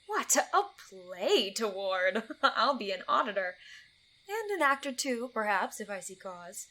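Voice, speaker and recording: An actress playing a gleeful, taunting fairy sprite in a theatrical Shakespearean audio drama. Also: female voice, mid-range voice, sing-song, sharp tone, low-quality recording. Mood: mocking, amused. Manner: taunting, dramatic, drawn-out delivery.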